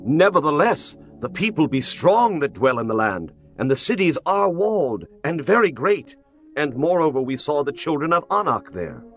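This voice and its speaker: Ancient Israelite scout played by a man: earnest, bold, declamatory, animated range, faint music bed, scripture drama. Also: on the high side, normal speed. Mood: alarmed.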